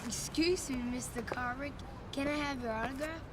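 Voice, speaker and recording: Woman as excited little boy fan, high voice, eager, polite, game cutscene, loud street noise. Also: moderate pace, close to an everyday voice. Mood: awed, polite. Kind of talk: video game cutscene acting.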